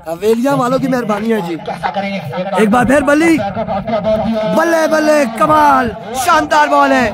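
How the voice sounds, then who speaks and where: A man with a strained, hoarse voice calls a local cricket match in a very high, excitable register, with a Pakistani lilt. He chats loosely with viewers between deliveries. A loud crowd roars behind him at the outdoor ground. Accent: Pakistani Hindko-Urdu. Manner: excitable, chatty, reads out viewer comments. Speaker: cricket commentator. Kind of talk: live tape-ball cricket commentary.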